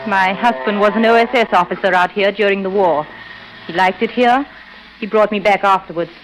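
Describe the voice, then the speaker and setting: An actress playing a sardonic, unsentimental widow and guide in an old radio serial. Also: female voice, mid-range voice, brisk, slight background noise, lightly acted, poor audio. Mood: matter-of-fact. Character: brisk, cynical English widow guide in the jungle. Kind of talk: old-time radio adventure acting. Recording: old radio drama recording with music.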